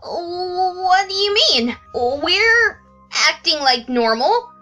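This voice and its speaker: A woman voicing a timid, nervous young female pony, halting and apologetic, in a cartoon-style audio drama. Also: extremely high pitch, animated, pushed and bright, heavily acted. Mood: nervous, evasive. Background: music.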